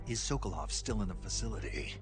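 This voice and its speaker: An actor playing a cool, terse soldier agent with a dry, inquisitive manner in a video game cutscene. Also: male voice, deep voice, animated, fast. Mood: cool, questioning. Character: cool, terse male soldier agent questioning his superior. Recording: loud music under the voice. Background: loud cinematic score.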